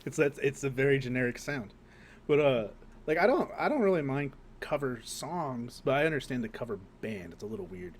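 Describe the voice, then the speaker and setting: A man with a mid-pitched voice bantering as a podcast co-host. He is casual and joking, heavy on slang and swearing. He often puts on indignation for comic effect. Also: lively intonation, fairly quick.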